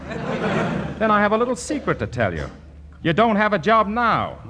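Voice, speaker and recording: An actor playing a peevish, self-important department store heir in a 1940s radio comedy, pompous and easily indignant. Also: male voice, high-pitched, wildly swooping pitch, normal speed, sharp tone, exaggerated voice. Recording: hissy vintage 1940s radio broadcast.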